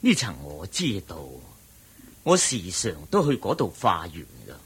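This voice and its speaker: A humble, solemn ascetic monk in a radio drama, with a lowish, very rough and breathy voice. He teaches slowly and deliberately in a Hong Kong Cantonese accent, patient and didactic. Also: huge pitch swings, fairly bright tone, full character voice. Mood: gentle, firm.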